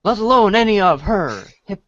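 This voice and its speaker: A man's voice: high-pitched, big pitch swings, moderate pace, fairly bright tone, no room sound, lo-fi sound. A man narrating a comic storybook script in the second person.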